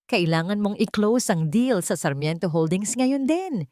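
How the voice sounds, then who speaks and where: A woman acts out an older rich matriarch in an audio drama, domineering and frantic. She scolds urgently, her pitch lifted, in a dry recording. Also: expressive, a little slow, darkish tone, dry recording, natural voice. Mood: frantic scolding.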